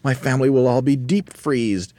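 A man voicing an excitable, bossy father monkey with an emphatic, exclaiming storybook delivery. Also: mid-range voice, fairly quick, dry recording, pitched above the performer's own voice, lightly acted. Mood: worried.

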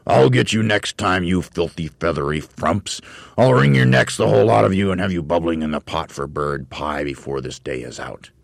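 A man's voice: a bass voice, normal speed, hardly any pauses, dry recording, studio quality. A man playing a cruel, bullying old man in a storybook, snarling and barking orders.

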